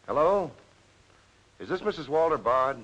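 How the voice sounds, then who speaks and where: An actor playing a businesslike police lieutenant in a 1940s crime film, measured, polite and probing. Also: male voice, fairly high pitch, animated, fairly bright tone, muffled recording. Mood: grave, polite. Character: steady, businesslike police lieutenant questioning witnesses. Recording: hissy old 1940s film soundtrack.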